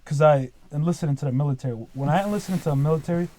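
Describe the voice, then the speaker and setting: Mellow-voiced young man, rambling casually. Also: a little high, very fast. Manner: rambling, leans heavily on "like" and "dude". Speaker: podcast guest, business owner. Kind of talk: casual podcast chat.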